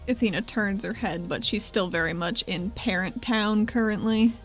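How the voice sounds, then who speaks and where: A woman's voice: mid-range voice, moderate pace, a bit bright, soft background music. A woman playing a tabletop roleplaying game, casually describing what her character does and says.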